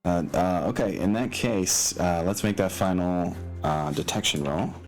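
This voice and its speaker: A man's voice: low voice, a little slow, soft background music. A man acting as game master, talking casually through rules and narration as he thinks aloud in a rambling way.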